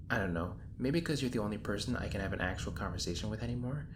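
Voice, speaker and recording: A man's voice: lowish voice, natural voice. A man playing an exasperated, sarcastic hero in an audio roleplay, rambling in casual modern phrasing. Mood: indignant, hurt. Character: exasperated, sarcastic young hero stuck in time loops. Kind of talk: audio roleplay acting, conversational monologue. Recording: roomy echo, clear background noise. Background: designed ambience.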